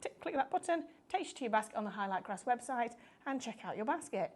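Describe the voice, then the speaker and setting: A woman presenting a live craft shopping show, chatty and upbeat, explaining things step by step, her voice a little croaky. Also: fairly high pitch, expressive, quick pace. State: slightly croaky voice.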